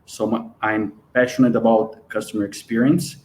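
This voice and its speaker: A man's voice: fairly low pitch, flat delivery, normal speed, a little mellow, a little hiss or hum. A man in his forties from Brazil, speaking careful English with a Brazilian accent as a guest on a live webinar interview. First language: Portuguese. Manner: earnest, careful non-native English.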